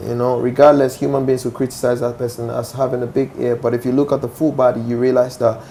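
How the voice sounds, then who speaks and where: A man's voice: medium pitch, level tone, quick pace, warm tone, slight background noise. A young Ghanaian man with a Ghanaian accent, a musician answering interview questions assertively, often checking "do you understand?". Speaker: musician guest.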